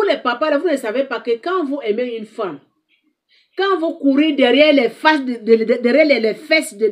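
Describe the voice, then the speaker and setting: Woman advice host, higher-pitched, preachy and scolding, repeats her points. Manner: emphatic, scolding, repeats herself. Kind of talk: moralizing monologue to viewers.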